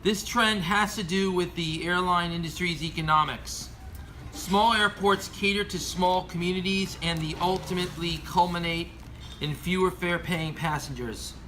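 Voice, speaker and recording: A man's voice: sits high, fairly even intonation, moderate pace, pushed and bright, a little hiss or hum, poor audio. An American man reading scripted opinion commentary as an aviation commentator, earnest and formal, stumbling over some words.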